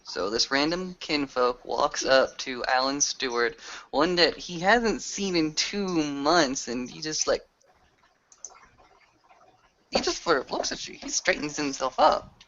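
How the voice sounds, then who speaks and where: A man's voice: a little high, lively intonation, slow, pushed and bright. A man casually narrating his character's actions as a player in a tabletop roleplaying game. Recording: dull lo-fi voice recording.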